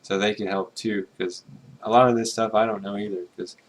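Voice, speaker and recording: A man's voice: fairly low pitch, flat delivery, brisk, slight background noise. A man streaming himself as he works through a game's text, thinking aloud and trying readings in a tentative, self-correcting way. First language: English. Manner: musing, tentative, mutters Japanese readings to himself. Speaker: streamer translating a game. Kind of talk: casual thinking-aloud commentary.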